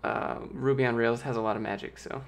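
Young man with a light, youthful voice. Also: brisk. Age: late 20s. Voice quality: youthful, light voice that sometimes squeaks. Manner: relaxed, rambling, reads viewer questions aloud. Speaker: streamer answering chat. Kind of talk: casual live-stream chat. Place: small home office, indoors. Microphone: large studio mic with pop filter, close.

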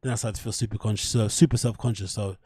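A man's voice: on the low side, fairly even intonation, fast talker, warm tone, slightly rough, dry recording, studio quality. A man hosting a livestream, giving casual, opinionated commentary with slang and swearing. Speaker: host of a livestream show. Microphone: close desk microphone.

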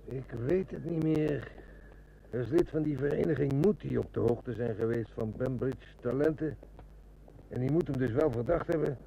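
An actor playing a shrewd, determined police inspector in a radio drama, matter-of-fact and commanding. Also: male voice, medium pitch, moderate pace, gravelly, mellow, lightly acted. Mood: brooding. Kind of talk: Dutch radio drama acting. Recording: noisy old radio recording with hum.

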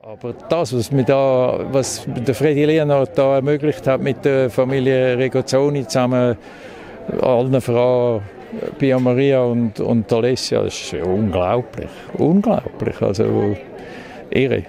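A man's voice: medium pitch, fairly even intonation, a little slow, creaky, warm tone. An older man speaking emphatically outdoors in an interview, drawing out his words. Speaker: former team manager.

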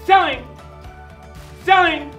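A man in his thirties with an Australian accent, calling bids at an outdoor house auction in fast, persuasive, repetitive bursts. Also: very high-pitched, a bit bright, muffled recording. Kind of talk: live property auction calling. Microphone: far camera. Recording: echoey, distant, with music bed.

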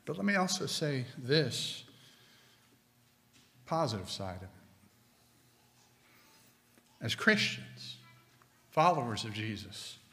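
Slow, bright-voiced preacher in a reverberant sanctuary. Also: male voice, medium pitch, big pitch swings. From United States. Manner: slow, deliberate delivery with emphatic pauses. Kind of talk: sermon. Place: church sanctuary with stone wall, at a pulpit. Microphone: pulpit microphone. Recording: long hall reverb.

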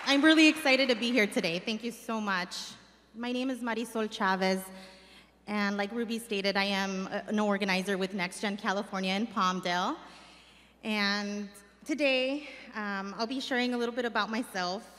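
A woman's voice: mid-range voice, moderate pace, a bit bright, some chatter behind. Young woman reading a speech on a hall stage. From Mexico. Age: young adult. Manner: earnest, reading from notes with some restarts. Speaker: community organizer. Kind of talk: reading a personal speech at a summit. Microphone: stand mic. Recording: stage mic with long hall reverb.

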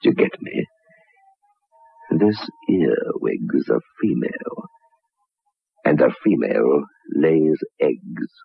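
An actor playing a cunning, vengeful betrayed husband in a vintage radio horror drama, husky and gravelly, speaking with slow, deliberate menace. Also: male voice, extremely low pitch, sing-song, no echo, exaggerated voice. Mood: cold menace. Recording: narrow-band vintage 1960s radio recording.

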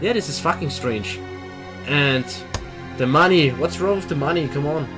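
Young Austrian gamer host; energetic reactive commentary on a headset, fairly high pitch, quick swearing and laughs. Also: male voice, over music. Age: young adult. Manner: excitable, swearing, laughing, reads game text aloud. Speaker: let's play host. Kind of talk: gaming commentary. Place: small room at a computer. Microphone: headset mic.

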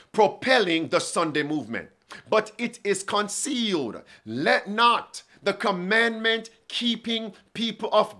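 Bright high-ish guy preaching slow and emphatic, reads quotes then hits you with questions. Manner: emphatic, reads quotations aloud and asks pointed questions. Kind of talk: prophecy sermon to camera. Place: indoor studio with bookshelves.

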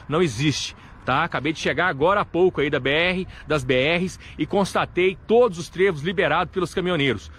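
A man from gives a live local news update beside a highway at night, talking earnestly into a phone camera held at arm's length and leaning on tag words like "né" and "tá". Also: a bit bright, husky. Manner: earnest, repetitive, tag questions "né" and "tá". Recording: faint traffic noise outdoors.

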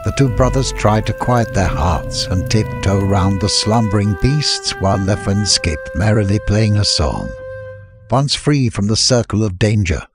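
Low-voiced man narrating over music. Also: lively intonation, unhurried. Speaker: audiobook narrator. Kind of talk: scripted fantasy storytelling.

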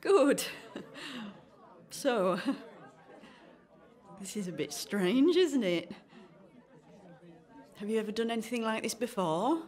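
A chatty, self-deprecating woman in her thirties played by an actress in a radio comedy play. She is awkward and eager, with a lively, swooping pitch and an unhurried pace. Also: a faint crowd. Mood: awkwardly cheerful. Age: 30s. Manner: awkward, eager, nervously giggly. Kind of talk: radio play acting, conversational.

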